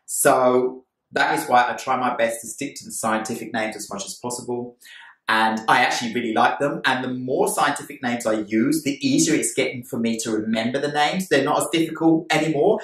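A man's voice: medium pitch, fairly quick, a bit bright. A middle-aged man talking casually to camera as a plant vlogger, explaining things chattily and repeating his points in other words. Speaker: plant vlogger, amateur gardener. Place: indoors, small bright room by a window. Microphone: camera a few steps away. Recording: echoey room, distant camera mic.

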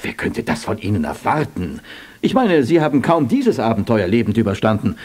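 Formal reluctant administrator, older gentleman, precise, pompous, swooping intonation, husky, radio play. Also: fairly low pitch, huge pitch swings, moderate pace, heavily acted. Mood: reluctant, conceding.

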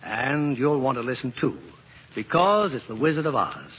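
A man's voice: medium pitch, big pitch swings, normal speed, slightly rough. A man hosting and narrating in a formal, scripted vintage radio style. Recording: muffled old radio broadcast, music behind.

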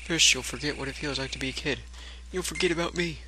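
A man's voice: a little high, fast, pushed and bright, a little hiss or hum, voice pushed up, low-quality recording. A man voicing a cocky, boyish lad from a video game in a casual, confident manner. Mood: cocky, wistful.

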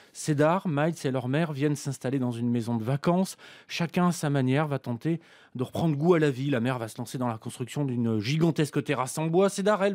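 A man's voice: a little high, warm tone. A middle-aged man presenting a scripted book review in a radio studio, reading passages aloud. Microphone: studio microphone, headset monitoring.

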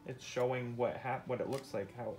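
A man's voice: medium pitch, steady tone, normal speed, over music. A middle-aged man, a father patiently reading a nonfiction picture book aloud and explaining it as he goes. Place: indoors on a couch at home.

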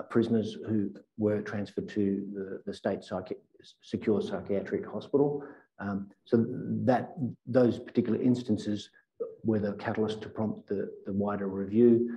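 A man in his fifties giving careful, halting formal testimony as a witness at a hearing. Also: on the low side, warm tone, rough recording. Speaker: government inspector giving evidence as witness. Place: indoor hearing room, seated at a table. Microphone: table microphone.

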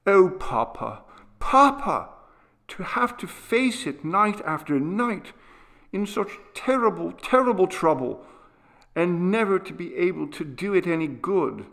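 A man's voice: high-pitched, big pitch swings, unhurried, vocal fry, fairly bright tone, pitched above the performer's own voice, full character voice. A man doing a tearful pleading young boy. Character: frightened sickly young boy pleading with father. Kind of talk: audiobook character voice.

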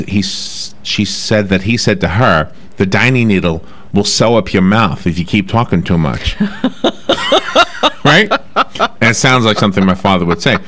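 Chatty New York radio host, low voice. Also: male voice, fairly bright tone. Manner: chatty, laughs easily. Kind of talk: casual radio talk. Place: radio studio. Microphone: desk microphone.

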